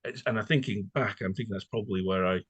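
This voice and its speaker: A man's voice: on the low side, fast, fairly bright tone, breathy edge, dry recording, lo-fi sound. A man hosting a conversational interview, hesitant and roundabout, often repeating words as he forms a question. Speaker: podcast host, interviewer.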